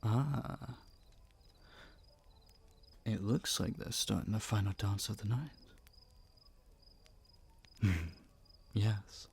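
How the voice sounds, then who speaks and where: A man acting young crown prince, breathy and husky, low-pitched, teasing, affectionate, courtly, romantic audio roleplay. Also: extremely slow, pauses often, full character voice. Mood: tender, wistful. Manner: teasing, soft-spoken courtly intimacy.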